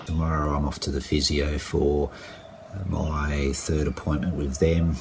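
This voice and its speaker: A man talking through his injury recovery in a casual video diary, halting and full of fillers and restarts. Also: rumbling low, flat delivery, noisy background. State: croaky with a cold. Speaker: vlogger.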